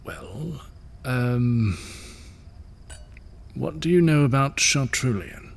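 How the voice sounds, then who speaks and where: A man's voice: medium pitch, big pitch swings, extremely slow, creaky, heavily acted. An actor playing a weary, wry middle-aged ruler and father in an audio drama, speaking hesitantly. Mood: hesitant, confiding.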